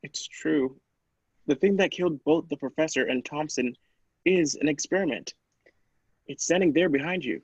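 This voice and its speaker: A man's voice: fairly high pitch, moderate pace, pauses often, breathy edge, no room sound, muffled recording. A man playing an anxious, cautious doctor in an old-style radio play, speaking with earnest worry. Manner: worried, earnest.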